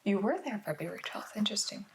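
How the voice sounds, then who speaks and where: A woman playing a calm, patient detective in a soft-spoken ASMR roleplay, often dropping into a whisper as she gently probes and reassures. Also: medium pitch, quick pace, background noise, natural voice. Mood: gently probing.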